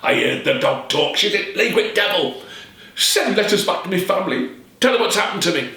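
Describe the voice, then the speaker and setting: Storyteller man voicing a shrill, panicked peddler, very echoey room, mic far off. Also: big pitch swings, normal speed, bright, forceful tone. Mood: frantic. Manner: shrieking, frantic. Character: terrified cursed middle-aged male peddler, gruff and shrill.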